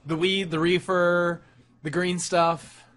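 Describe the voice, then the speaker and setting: A man's voice: high-pitched, flat delivery, takes it slowly, fairly bright tone. A man co-hosting a casual podcast, wisecracking and teasing the others. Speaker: podcast co-host.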